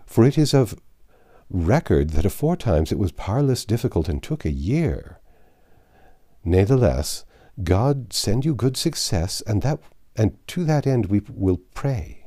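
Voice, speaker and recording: A man's voice: lowish voice, very animated, unhurried, warm tone. A man voicing a pious, courteous medieval monk in a novel reading, with formal, archaic speech. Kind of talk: audiobook character dialogue.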